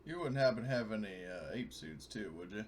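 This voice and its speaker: A man's voice: lowish voice, animated, fairly quick, husky. A man chatting casually as a player in a tabletop game, sweary as he checks the rules. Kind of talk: casual game-session chat, checking rulebook.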